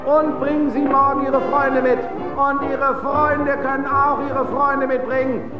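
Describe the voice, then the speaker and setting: A showy, very high-voiced master of ceremonies in a radio play. He is gleeful and pushy, coaxing the crowd with theatrical flourish. The sound is echoey, with loud music playing behind him. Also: level tone, dull audio. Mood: boastful, coaxing. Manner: theatrical, crowd-working, drawn-out exclamations, coaxing questions. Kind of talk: radio drama acting as show announcer.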